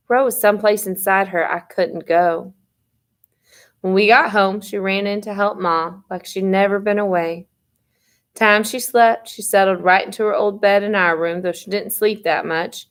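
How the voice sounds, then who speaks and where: A woman narrating a first-person historical novel as an audiobook storyteller, with expressive reading. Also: medium pitch. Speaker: reader of an audiobook chapter.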